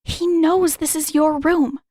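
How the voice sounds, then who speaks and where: Lady reading as a flustered teen girl, stubborn n brisk, urgent, audiobook character, dry sound. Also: high voice, fairly even intonation, dark tone, no room sound, pitched above the performer's own voice. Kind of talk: fan fiction audiobook character voice.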